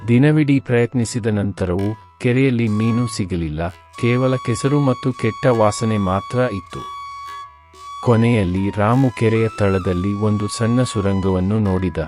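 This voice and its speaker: A man narrating a cartoon moral story in an even, matter-of-fact way. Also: lowish voice, normal speed, faint music behind.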